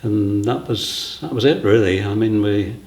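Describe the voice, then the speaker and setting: A man explaining his design work informally, in a halting, matter-of-fact way. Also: fairly low pitch, a little mellow. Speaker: theatre set designer. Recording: echoey room.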